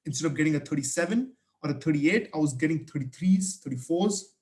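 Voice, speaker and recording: A young man whose first language is Hindi gives study tips in a casual vlog. His voice sits a little high, and he speaks earnestly and with emphasis. He often stops and restarts his sentences as he goes. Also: expressive, brisk, a little mellow. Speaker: student vlogger giving study advice.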